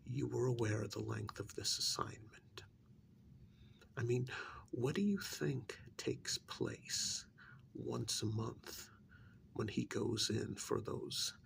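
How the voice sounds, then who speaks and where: A man playing a cold, condescending secret-agency superior in a soft-spoken ASMR role-play, measured and patronizing, with a breathy, gravelly half-whisper and deliberate pauses. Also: mid-range voice, full character voice. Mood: matter-of-fact, cold.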